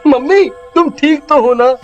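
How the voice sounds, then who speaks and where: Man acting a high-pitched, eager little village boy. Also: a little slow, highs cut off, faint music behind. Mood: worried. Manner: pleading, childlike, eager. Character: cheeky clever young village boy, pleading son.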